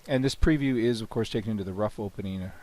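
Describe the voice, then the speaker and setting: A man with a cold, hoarse and often clearing his throat, casually talking through a software demo with many "you know"s and restarts. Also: on the low side, racing. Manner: relaxed, rambling, frequent "you know" and restarts. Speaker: software developer demonstrating his plugin. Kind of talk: screen-recorded software walkthrough.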